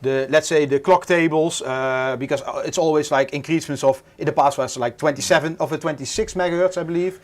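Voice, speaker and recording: A man's voice: on the high side, fairly even intonation, fairly quick, few pauses. A man with a Dutch accent hosting a live stream, talking casually with many fillers and restarts. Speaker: stream host.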